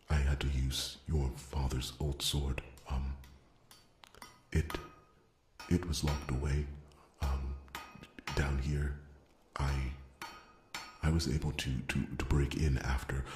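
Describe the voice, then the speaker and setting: Guy doing a super deep gentle dwarf blacksmith, hushed and stammery, earnest, asmr fantasy, echoey room w bit of noise. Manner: halting, stammering, deferential, repeats his words. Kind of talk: ASMR fantasy roleplay monologue. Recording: roomy echo, faint background noise.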